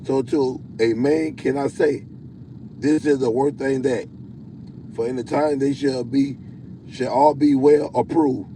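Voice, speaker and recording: A man with a deep voice preaching on a livestream. He reads scripture slowly, stumbling and repeating words. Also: fairly even intonation, dark tone, slight background noise, poor audio. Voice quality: self-described deep voice. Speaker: self-styled prophet hosting a livestream.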